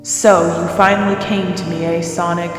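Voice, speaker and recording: A woman playing a resentful, taunting girl hedgehog possessed by dark energy in an audio drama. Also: on the low side, flat delivery, moderate pace, lightly acted. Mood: taunting, menacing. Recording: echoey, long reverb, faint music behind.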